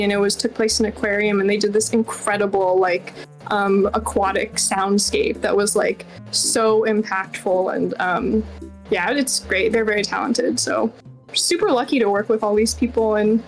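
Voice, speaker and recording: A woman's voice: medium pitch, fairly even intonation, normal speed, faint music behind, poor audio. A woman being interviewed as a game developer, talking casually and informally in a chatty, self-deprecating way. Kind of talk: casual Q&A chat.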